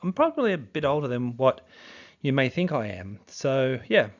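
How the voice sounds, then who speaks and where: A man's voice: mid-range voice, very animated, normal speed, lo-fi sound. A man of fifty with a youthful-sounding voice, talking casually to camera as a true crime YouTuber, rambling with fillers and restarts. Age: 50s. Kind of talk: casual video commentary.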